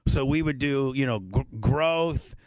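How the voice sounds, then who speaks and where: A man's voice: mid-range voice, measured pace, darkish tone, no room sound. A man hosting a casual interview, talking bluntly with plenty of swearing and jokes. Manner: profane, blunt, joking. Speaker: podcast host.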